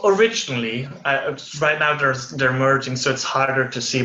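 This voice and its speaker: A young man from Belgium, a guest in an interview, explaining his work with thoughtful pauses and restarts. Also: medium pitch, sharp tone, reverberant, lo-fi sound. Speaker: interview guest, VFX artist. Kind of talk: casual interview conversation.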